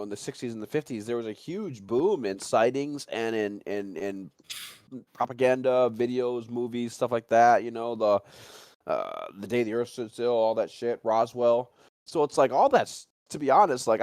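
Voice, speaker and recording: A man's voice: mid-range voice, no room sound, studio quality. A middle-aged man chatting casually, rambling along with slang and swearing. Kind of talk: casual chat.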